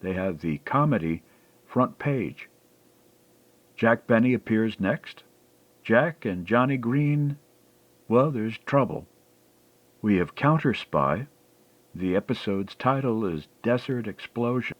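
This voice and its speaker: A man announces an old-time radio program as its host. His lowish voice swings widely in pitch, with deliberate phrasing. The sound is dry. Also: lively intonation, no echo, lo-fi sound.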